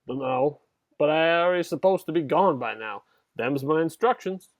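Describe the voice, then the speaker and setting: A man's voice: on the high side, lively intonation, moderate pace, dry recording. A man acting a shifty, evasive street-thug lackey with slangy speech in an audio drama. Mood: shifty, defensive.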